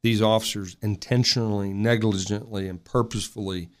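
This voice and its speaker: A man's voice: lowish voice, fairly even intonation, fairly quick. A man speaking as a sheriff in an interview, emphatic and official in his wording. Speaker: county sheriff being interviewed. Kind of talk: interview response.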